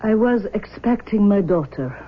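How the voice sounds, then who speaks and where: A woman's voice: medium pitch, animated, natural voice. An actress as a haughty mother, muffled radio sound. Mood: stiff, haughty. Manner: formal, haughty, deliberate. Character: formal, imperious Russian mother demanding her daughter. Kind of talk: 1970s radio drama acting. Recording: dull vintage radio broadcast.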